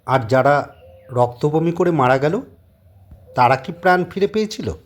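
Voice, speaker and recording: A man voicing a polite, puzzled young houseguest with a husky voice and a questioning way in an audio drama. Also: on the high side, lively intonation, a little slow. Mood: insistent, questioning. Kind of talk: Bengali audio drama acting.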